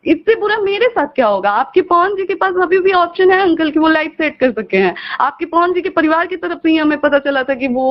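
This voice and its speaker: A woman's voice: very high-pitched, lively intonation, a little fast, hardly any pauses. A woman with an eastern Hindi-belt accent argues and pleads insistently on a recorded phone call, repeating her questions. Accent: eastern Hindi belt, Bhojpuri region. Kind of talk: emotional phone conversation, arguing and pleading.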